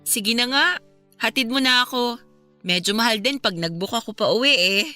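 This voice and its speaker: An actress playing a gentle, supportive young woman in a radio romance drama, speaking with a Filipino accent in a tender, earnest way. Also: fairly high pitch, normal speed, soft background music. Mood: lighter, agreeable.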